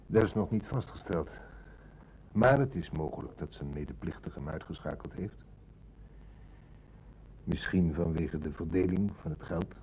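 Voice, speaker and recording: An actor playing a polite, firm and determined police inspector in a radio play, speaking in a formal, measured way. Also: male voice, sits low, a little mellow. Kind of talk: Dutch radio drama acting. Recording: dull, noisy old radio recording.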